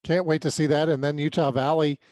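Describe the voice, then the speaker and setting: A man's voice: on the high side, flat delivery, fairly quick, a little mellow, no room sound. A man with an American accent talking through sports results in a casual way as a podcast co-host. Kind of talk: casual sports recap commentary.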